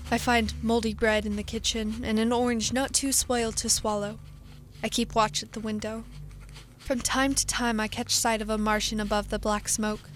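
A woman playing a weary, haunted professor who survived an invasion, speaking solemnly and deliberately in a radio drama. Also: on the high side, lightly acted. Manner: solemn, literary, deliberate narration. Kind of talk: dramatic radio play monologue and dialogue.

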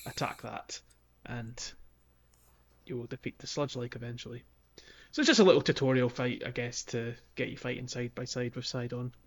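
A man hosting a podcast, talking casually through a game walkthrough in a halting, explanatory way. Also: mid-range voice. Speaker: podcast host.

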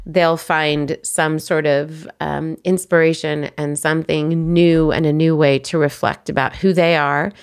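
A woman hosting a podcast, speaking in an earnest, measured and affirming way. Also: sits low, flat delivery, clear, resonant tone. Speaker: podcast host. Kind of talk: podcast interview and outro.

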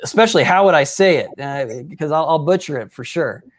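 A man's voice: fairly high pitch, lively intonation, a little fast. A man hosting a casual sports interview, upbeat and effusive in his praise of his guest. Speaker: interview host.

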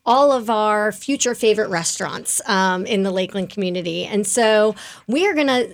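A woman's voice: mid-range voice, expressive, moderate pace. Woman, guest host of a talk show, friendly, laughs easily.

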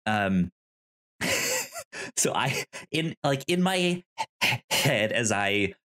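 A man co-hosting a podcast, discussing films casually in a halting, rambling way with easy laughter. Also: mid-range voice, huge pitch swings, fairly bright tone. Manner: rambling, stammering, laughs easily. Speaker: podcast co-host.

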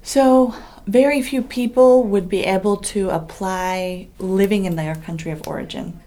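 A woman immigration attorney in her office, answering interview questions and explaining terms clearly. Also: mid-range voice, steady tone, a little slow, warm tone. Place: legal services office.

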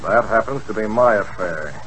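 A man's voice: fairly low pitch, lively intonation, normal speed, a bit bright, a little hiss or hum, rough recording. A man playing a masked crime-fighter posing as a racketeer in a 1940s radio play, speaking in a curt, commanding manner. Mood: menacing.

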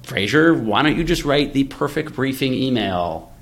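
A man's voice: medium pitch, expressive, normal speed, fairly bright tone. A man hosting a casual tech discussion, explaining ideas by thinking aloud and posing rhetorical questions. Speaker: podcast host, venture investor.

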